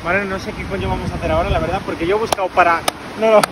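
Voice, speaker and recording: A man's voice: high-pitched, steady tone, rapid-fire, noisy background. A young man ranting in exasperation as he talks through where to sleep. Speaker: travel companion.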